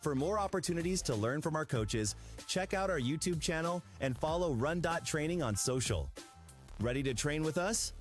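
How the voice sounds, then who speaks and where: A man's voice: on the high side, animated, normal speed. A man reading a scripted podcast outro in an upbeat, promotional manner. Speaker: podcast announcer. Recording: faint music behind voice.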